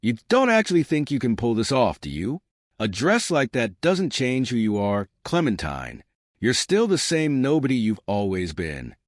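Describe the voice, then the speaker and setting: A man's voice: mid-range voice, no room sound, clean studio sound. A man voicing a smug, scornful young woman, a cruel sister in a storybook tale, who drawls and taunts with condescension.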